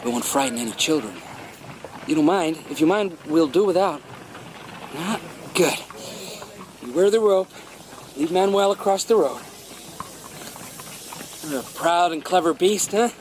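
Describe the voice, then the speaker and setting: Male actor as a sly dog thief, high voice, unhurried, coaxing, theatrical, radio play, noisy background. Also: lively intonation. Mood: coaxing, friendly. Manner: coaxing, theatrical, repeats himself. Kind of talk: radio drama acting.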